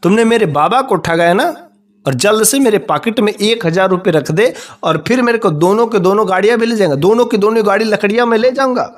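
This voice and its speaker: A man's voice: high voice, a little fast, pitched above the performer's own voice, natural voice. A man voicing a crafty, vengeful young farmer's son in a folk story, stubbornly repeating his demands. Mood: stern warning. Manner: insistent, repetitive demanding.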